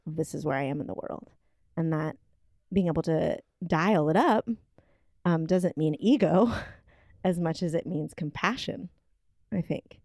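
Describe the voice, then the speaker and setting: A woman with a low, gravelly voice muses through ideas in relaxed conversation. She pauses and fills gaps as she works out her thoughts. The sound is dry and close, with no room echo. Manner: thoughtful, searching, with many fillers. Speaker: podcast co-host. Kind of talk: casual chat. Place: home kitchen table.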